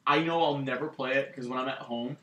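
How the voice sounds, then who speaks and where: A man's voice: mid-range voice, steady tone, fairly quick, bright, forceful tone, slight background noise. A man co-hosting a gaming channel, chatting casually with lots of "um" and "like" fillers. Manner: rambling, many fillers like "um" and "like". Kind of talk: casual chat about video games. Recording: echoey room.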